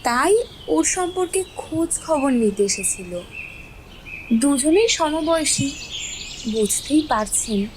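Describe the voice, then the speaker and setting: A woman playing a frightened, evasive young village wife in an audio drama, speaking in a halting, pleading way. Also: sits high, animated, a little mellow, a little hiss or hum. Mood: confiding. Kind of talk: Bengali audio drama acting.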